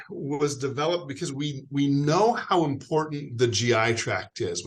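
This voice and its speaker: An American man answers interview questions over a video call. His voice sits in a middle range, and he explains in a friendly, rambling way with plenty of fillers. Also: medium pitch. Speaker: guest expert.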